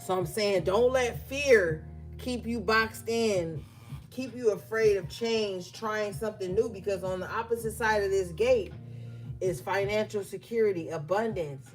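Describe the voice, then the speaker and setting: Woman tarot reader at a mid-range pitch with soft music underneath. Her pace is unhurried and she alternates between reading from the guidebook and chatting loosely. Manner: reads guidebook text aloud, then riffs conversationally with fillers. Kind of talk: collective tarot reading, casual address to viewers.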